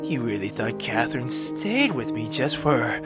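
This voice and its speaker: A woman voicing a sinister male immortal who feeds on love, bitter and mocking, with slow menace that drops into whispers, in a visual novel. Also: a bass voice, sing-song, over loud music, pitched below the performer's own voice, heavily acted. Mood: mocking calm. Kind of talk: dramatic visual novel read-aloud.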